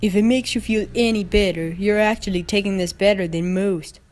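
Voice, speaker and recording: A woman voicing a formal, matter-of-fact male pony official, speaking in a measured way in a cartoon fan story. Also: mid-range voice, steady tone, a little mellow, close to an everyday voice. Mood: reassuring.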